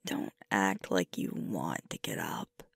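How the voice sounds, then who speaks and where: Woman doing sleepy tender girlfriend asmr, super breathy whispering, close dry mic, sweet and affectionate. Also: a bass voice, expressive, no echo, full character voice. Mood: affectionate, gently teasing. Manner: slow, drowsy, tender murmuring. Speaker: ASMR roleplay performer. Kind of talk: intimate girlfriend roleplay ASMR. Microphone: close microphone, dry.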